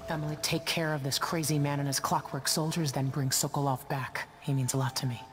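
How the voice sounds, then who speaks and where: Actress as gruff female ship captain, middle-aged, deep for a woman, terse, wary, game cutscene, loud music. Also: steady tone, fairly quick, natural voice. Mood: businesslike. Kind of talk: video game cutscene acting.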